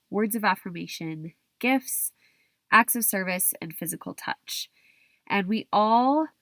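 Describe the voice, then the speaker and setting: A young woman hosting her own podcast while stuffy and hoarse from a cold, talking in a chatty way with frequent restarts. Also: medium pitch, no room sound. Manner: chatty, restarts and hedges with kind of. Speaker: podcast host. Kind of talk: solo podcast talk.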